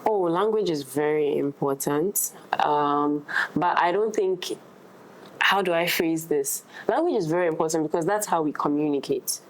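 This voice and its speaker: A woman's voice: low-pitched, normal speed, slight background noise, low-quality recording. A woman talking casually in a roundtable discussion, thoughtful and feeling her way through her ideas. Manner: thoughtful, hesitant while forming ideas. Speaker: actress in discussion.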